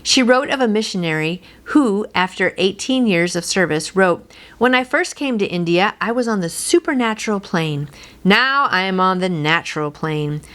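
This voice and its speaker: A woman's voice: mid-range voice, fairly bright tone. A middle-aged woman hosting a devotional, reading and then encouraging the listener in an earnest, personal, informal way. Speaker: devotional host and reader.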